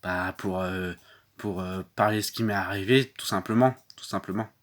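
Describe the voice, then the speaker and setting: A man voicing a young battered wife who speaks softly and haltingly, repeating herself and trailing off. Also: deep voice, steady tone, natural voice. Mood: downcast.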